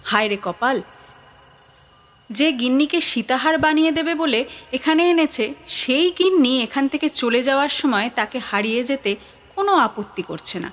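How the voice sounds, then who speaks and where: A woman's voice: high voice, lively intonation, normal speed, a bit bright. A woman narrating a scripted story in a dramatic storytelling style.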